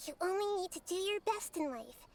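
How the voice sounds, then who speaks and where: A woman voicing a gentle, wise young girl deity in a video game who speaks softly and thoughtfully. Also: very high-pitched, expressive, fairly quick. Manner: soft, thoughtful, patient counselling. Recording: game audio with music behind.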